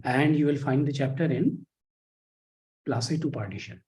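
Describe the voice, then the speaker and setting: A man teaching an online history class on a video call, reading out students' chat messages with many pauses and fillers. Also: medium pitch, a little mellow, low-quality recording.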